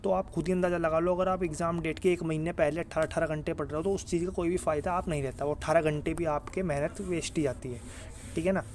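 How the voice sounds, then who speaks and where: Higher-pitched man, noisy recording. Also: fairly even intonation, fast, a little mellow. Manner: earnest, repetitive, insistent, with frequent check-in tags. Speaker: exam guidance YouTuber. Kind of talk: informal advice talk to students. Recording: clear ambient background noise.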